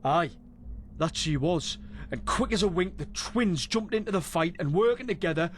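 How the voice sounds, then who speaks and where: An actor playing a boisterous, rough British adventurer in a fantasy game, telling tales in a salty, wry way. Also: male voice, high-pitched, animated, normal speed, slight background noise. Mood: reflective. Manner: salty, foul-mouthed, wry storyteller. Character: boisterous rough male adventurer spinning a monster tale. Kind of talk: in-character storytelling in a fantasy game.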